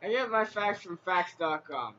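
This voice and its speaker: A young man playing a strategy game with a friend, joking crudely and boasting loudly. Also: sits high, lively intonation, normal speed. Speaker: player. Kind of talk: casual gaming banter. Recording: dull lo-fi mic with faint hiss.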